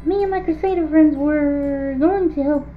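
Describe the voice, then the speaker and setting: A woman's voice: high-pitched, moderate pace, phone-quality sound, crowd noise, natural voice. A woman voicing a young pony filly, folksy and cheerfully sinister, for a fan horror audio drama. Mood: eager.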